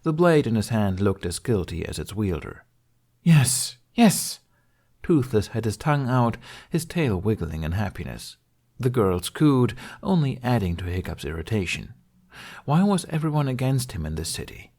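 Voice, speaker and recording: Low-pitched male audiobook narrator. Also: very animated, moderate pace, a little mellow. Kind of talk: scripted fiction narration.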